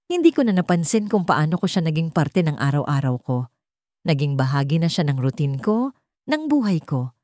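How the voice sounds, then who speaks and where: A woman narrates a first-person love story in a low, soft-edged voice. The tone is dark and mellow, and the recording is close and dry, as scripted storytelling. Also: dry recording.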